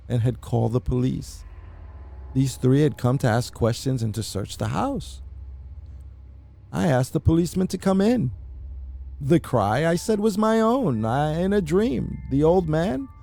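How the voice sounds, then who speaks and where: A man giving a theatrical, deliberate first-person dramatic reading of a horror story, as an anxious, mad murderer confessing. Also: fairly high pitch, gravelly, warm tone. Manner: theatrical, deliberate, with emphatic repetitions. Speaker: amateur narrator reading a horror short story.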